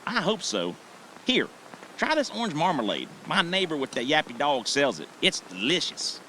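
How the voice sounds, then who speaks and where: A man's voice: on the high side, huge pitch swings, normal speed, bright, forceful tone, slight background noise, heavily acted, rough recording. A man playing a chatty, evasive bed-and-breakfast host in an audio drama. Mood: cheerful.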